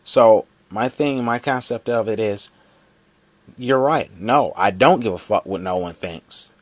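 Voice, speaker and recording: A man in his thirties vents into his camera in a heated, profane monologue, circling back to the same points again and again. His voice sits fairly low, and the recording is dry with almost no room sound. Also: measured pace, no echo. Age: 30s. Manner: angry, profane, repetitive ranting. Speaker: vlogger. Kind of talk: ranting vlog monologue.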